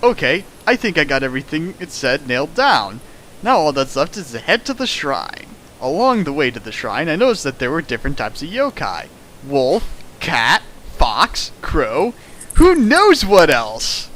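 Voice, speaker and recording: Man doing a comic read-through of a fanfic, lively swooping pitch, sarcastic asides, faint noise in the background. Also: normal speed. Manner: sarcastic, mocking asides, laughs at the text. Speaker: reader riffing a fan fiction. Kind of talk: comedic dramatic reading with commentary.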